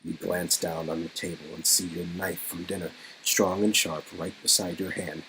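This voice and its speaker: Low-voiced man narrating, slight noise. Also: flat delivery, normal speed, sharp tone, poor audio. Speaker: narrator and co-reader. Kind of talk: dramatic scripted narration of a story game.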